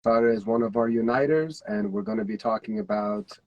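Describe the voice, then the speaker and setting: A man's voice: on the low side, brisk, dry recording, dull audio. Upbeat male talk show host, dry sound. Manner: upbeat, encouraging, thanks guests often. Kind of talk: live-stream talk show hosting.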